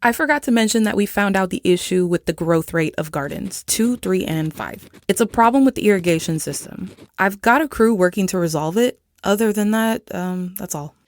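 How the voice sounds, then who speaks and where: A woman's voice: mid-range voice, moderate pace, few pauses, dry recording, lightly acted. An actress playing a businesslike female commission secretary, matter-of-fact, in an audio drama.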